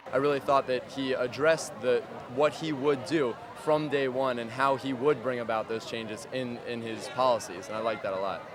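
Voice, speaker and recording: A man's voice: mid-range voice, steady tone, normal speed, hardly any pauses. A young man, a student, gives his casual opinion in an on-the-street interview. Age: young adult. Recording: outdoor interview over crowd noise.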